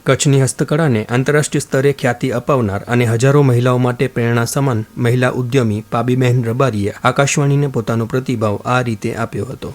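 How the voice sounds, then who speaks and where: A man with a Gujarati accent reads scripted radio news at a medium pitch. His phrasing is formal and measured, in the manner of a bulletin. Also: fairly even intonation. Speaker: radio newsreader.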